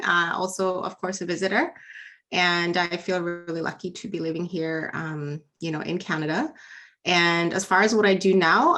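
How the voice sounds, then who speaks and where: A woman's voice: fairly low pitch, a bit bright. A woman in her thirties from the Philippines, a business coach answering interview questions in a friendly, casual way with many fillers. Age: 30s. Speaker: business leadership coach, guest.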